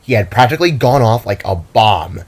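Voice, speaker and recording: A man's voice: fairly low pitch, lively intonation, normal speed. A man narrating a scripted fantasy story in a dramatic storytelling style.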